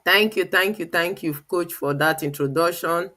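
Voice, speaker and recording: A woman's voice: fairly low pitch, moderate pace, sharp tone. A woman hosting an informal live webinar, chatty and given to repeating her phrases. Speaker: live show host.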